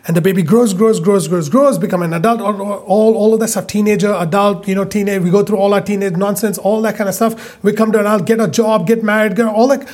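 Male preacher, high husky voice, emphatic, stretches words for weight. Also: steady tone, a little mellow. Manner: emphatic, repeats phrases, stretches key words slowly. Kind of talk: sermon.

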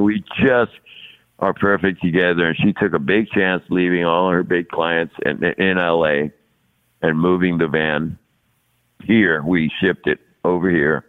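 A man in his sixties, a podcast guest talking casually and at length, often repeating words and laughing between thoughts. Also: low-pitched. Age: 60s. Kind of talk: casual interview conversation. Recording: tinny phone-like line.

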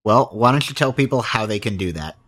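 A man's voice: fairly low pitch, lively intonation, a little fast, fairly bright tone, slight background noise. A man hosting a casual interview, informal and self-deprecating in how he talks. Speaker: interviewer, podcast host.

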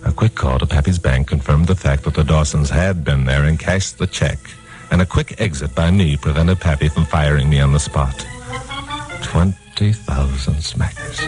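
Deep-voiced wisecracking radio detective. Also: male voice, warm tone, poor audio. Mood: rueful narration. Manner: wry, slangy, self-mocking. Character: hardboiled wisecracking radio detective hero, narrating his own case. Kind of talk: 1950s radio crime drama acting and narration. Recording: 1950s radio recording with music.

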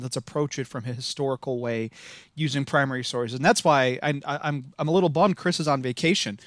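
A man's voice: mid-range voice, no room sound, studio quality. A man in his thirties with a Midwestern American accent, talking enthusiastically as a podcast guest, rambling with many restarts. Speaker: podcast guest, living history reenactor. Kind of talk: casual podcast interview.

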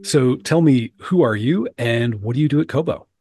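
A man's voice: medium pitch, normal speed. A man co-hosting a podcast, interviewing guests in a thoughtful, playful way. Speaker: podcast co-host.

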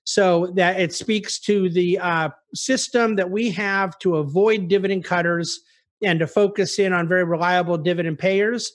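A man's voice: high-pitched, steady tone, normal speed. A man speaking as an investment officer on a conference call, giving articulate, emphatic explanations in answer to investor questions. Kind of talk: conference call market commentary.

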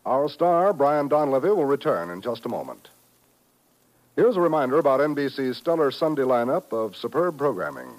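A man's voice: mid-range voice, expressive, moderate pace, poor audio. A man with an American accent announcing programme promos in polished 1950s radio style on an old broadcast recording.

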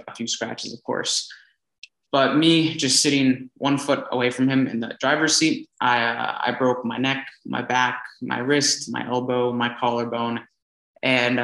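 Earnest young man telling his story in an echoey room. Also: mid-range voice. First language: English. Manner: relaxed, earnest, many fillers and restarts. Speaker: interview guest. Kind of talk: casual storytelling interview.

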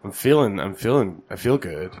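A man co-hosting a casual chat show, raspy from being ill, swearing freely and joking around. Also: lowish voice, lively intonation, normal speed, darkish tone. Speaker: podcast co-host. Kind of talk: casual banter.

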